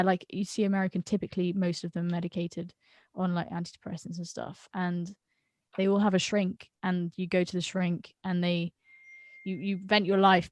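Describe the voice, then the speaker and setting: Uk woman on a video call, lowish voice, blunt and lively, trips over her words a lot. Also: no echo. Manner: frank and animated, stumbling over words. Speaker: guest friend. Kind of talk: casual video-call chat.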